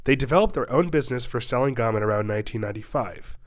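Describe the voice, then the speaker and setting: A man's voice: medium pitch, racing. A young man hosting a podcast, congested with a stuffy nose, chatting casually with many fillers and restarts, then reading a story aloud. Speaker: podcast host.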